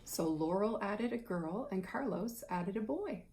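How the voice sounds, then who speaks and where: A woman's voice: mid-range voice, lively intonation, a little slow, darkish tone. A woman reading aloud and explaining things to children in a conversational way, like a teacher. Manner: conversational, asks the listener questions while reading.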